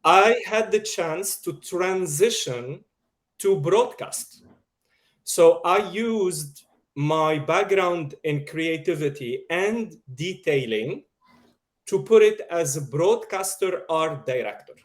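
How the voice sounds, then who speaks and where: A man with a Lebanese accent talks slowly and carefully as an interview guest on a video call. His voice sits a little high and his delivery is measured. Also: animated. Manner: slow and measured, with soft laughs. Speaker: guest, media producer. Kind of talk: casual interview talk.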